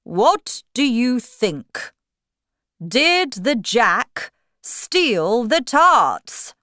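A woman's voice: fairly high pitch, big pitch swings, very slow, bright, forceful tone, no room sound, clean studio sound, pitched above the performer's own voice, full character voice. A woman voicing a pompous, stern king who commands and questions in a storybook. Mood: commanding.

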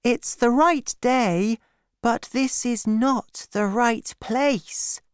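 A man voicing a gentle, sad little boy prince, speaking softly and earnestly. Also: extremely high pitch, extremely slow, smooth, no echo, studio quality, pitched above the performer's own voice, exaggerated voice. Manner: soft, earnest, wistful.

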